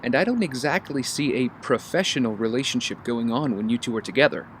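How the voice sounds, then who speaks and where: A man's voice: medium pitch, brisk, a little hiss or hum, lightly acted. Earnest, wry young hero, acted by a man. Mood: teasing. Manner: earnest, casual, teasing. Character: earnest, wry young male vigilante making amends. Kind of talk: audio drama acting.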